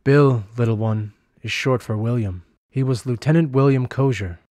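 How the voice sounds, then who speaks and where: A man playing a remorseful former soldier who speaks gravely and slowly. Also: mid-range voice, darkish tone, no room sound, studio quality, close to an everyday voice. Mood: solemn. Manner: grave and slow.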